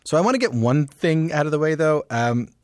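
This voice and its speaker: Animated, slightly croaky male interview host. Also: fairly high pitch, a little fast, dry recording, clean studio sound. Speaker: podcast and radio show host. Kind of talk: conversational scripted introduction and interviewing.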